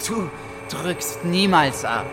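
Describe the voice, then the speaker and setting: A man's voice: sits high, expressive, slow pace, noisy background. An actor playing a scornful, taunting working man with a blunt, sneering way of talking in a radio drama. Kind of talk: naturalistic radio drama acting.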